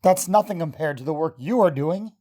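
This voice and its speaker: A woman acts out a devoted scientist husband in a vintage-style radio play. The voice sits low, with a fond, encouraging manner. He is proud of his wife and teases her gently. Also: expressive, fairly quick. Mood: proud, tender. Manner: tender, encouraging, gently teasing. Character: affectionate, proud scientist husband, adult man. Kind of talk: old-time radio drama acting.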